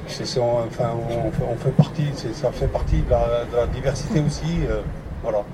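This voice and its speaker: A man's voice: medium pitch, normal speed. Hesitant man speaking amid background noise. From France. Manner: hesitant, many fillers and restarts. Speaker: participant in a public debate. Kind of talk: spontaneous public speaking.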